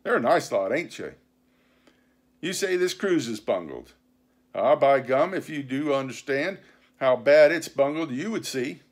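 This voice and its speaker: A man reading a storybook, voicing a sly, scornful pirate captain who speechifies in rough nautical slang with sarcasm and bluster. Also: mid-range voice, pitched above the performer's own voice. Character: sly, scornful, commanding male pirate captain and sea cook.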